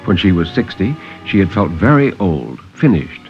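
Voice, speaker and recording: A man narrating a story in a theatrical 1950s radio style with measured pauses. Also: sits low, sing-song, soft background music. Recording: dull vintage 1950s radio recording.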